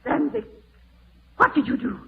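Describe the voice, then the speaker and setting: A woman's voice: unhurried, close to an everyday voice. An actress playing a suspicious, frightened but insistent woman, urgent and accusing, in old radio horror style. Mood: alarmed. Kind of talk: 1940s radio horror acting. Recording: tinny band-limited old radio broadcast.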